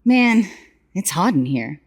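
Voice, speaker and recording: A woman playing a scatterbrained, earnest young huntress in an audio drama, speaking in an expressive, informal, hesitant way. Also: on the low side, takes it slowly, breathy edge, no echo, clean studio sound, full character voice. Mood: sincere, tender. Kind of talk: fan audio drama character acting.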